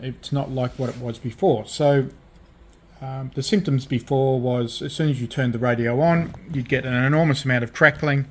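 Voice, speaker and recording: A man at his workbench, casually talking through a radio repair, thinking aloud with fillers and restarts. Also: medium pitch. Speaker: radio restorer. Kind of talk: casual repair vlog narration. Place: home workshop at a workbench. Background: rain storm outside.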